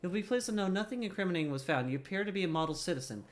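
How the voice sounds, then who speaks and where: A man voicing a dry-witted woman military captain in a video game, formal and brisk as orders are given. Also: high voice, animated, pitched above the performer's own voice. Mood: dry, wry.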